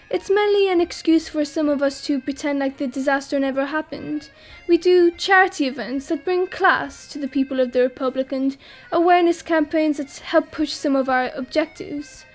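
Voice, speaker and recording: Wry high-voiced exiled woman. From France. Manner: wry, self-mocking, laughs while talking. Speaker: exile interviewed about a disaster. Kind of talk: acted monologue in an audio drama.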